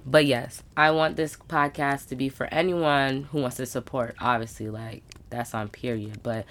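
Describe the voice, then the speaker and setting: A woman's voice: extremely low pitch, steady tone, moderate pace, a bit bright. A woman in her twenties hosting a solo podcast, talking in a casual, slangy, upbeat way with emphatic asides. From United States. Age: 20s. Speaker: podcast host.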